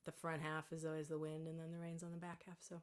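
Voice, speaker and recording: A woman's voice: sits low, completely flat delivery, fast, music in the background. A woman singer-songwriter talking casually between songs on a live stream from her home, rambling and correcting herself, with a slightly scratchy voice. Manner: rambling, self-correcting, chatty.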